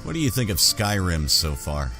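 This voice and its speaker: A man hosting a gaming roleplay playthrough, narrating in an unhurried way with pauses and musing hums. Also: low-pitched, sing-song, sharp tone. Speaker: gaming channel host. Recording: music under the voice. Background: game music.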